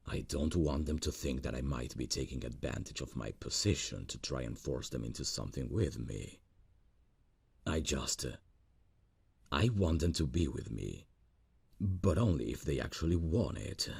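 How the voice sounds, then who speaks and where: Earnest lovesick boss, played by a man: husky, dry close-mic sound, lively pitch. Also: no room sound, exaggerated voice. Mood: wistful. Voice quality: deep gravelly voice. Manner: soft, intimate, slow and earnest. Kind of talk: ASMR roleplay audio.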